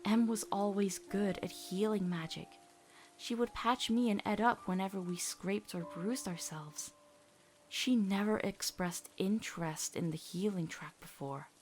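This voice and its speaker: A woman voicing a gentle, steadfast teenage witch girl, speaking in a measured, reassuring way in a dramatic comic dub. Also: mid-range voice, slight background noise, lightly acted. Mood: tender, admiring.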